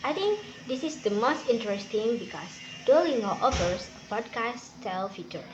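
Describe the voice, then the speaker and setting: A young woman from Indonesia with an Indonesian accent and Sundanese as her first language, giving an informal walkthrough of a language app and pausing often to find her words. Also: fairly high pitch, animated, muffled recording, music in the background. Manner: hesitant, with many fillers and restarts. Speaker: student reviewing a language app. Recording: noisy background hiss.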